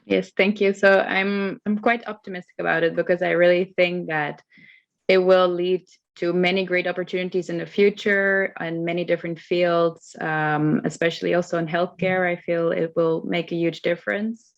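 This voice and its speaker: A woman's voice: on the low side, little pitch movement, normal speed, vocal fry. A woman from Belgium, a citizen panelist joining a discussion by video call, explaining her views earnestly and steadily. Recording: dull lo-fi video call audio.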